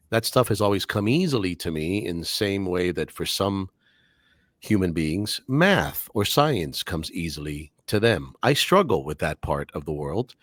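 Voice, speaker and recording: A man's voice: sits low, no room sound, studio quality. A middle-aged man, a native Spanish speaker and English teacher, speaking articulately with careful diction in a casual podcast interview.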